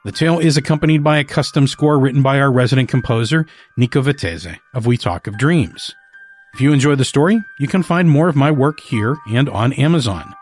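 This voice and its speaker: A man hosting a podcast, reading a scripted introduction in an earnest, measured way. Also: medium pitch, a little mellow, soft background music. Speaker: podcast host.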